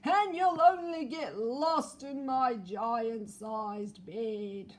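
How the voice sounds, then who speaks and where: A gigantic bear bawls through a dark wood in a loud, drawn-out voice, as a woman reading to children puts it on. A faint hiss sits under the storybook recording. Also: a little high. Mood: bawling. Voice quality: loud, bawling. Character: huge bawling bear, loud and booming.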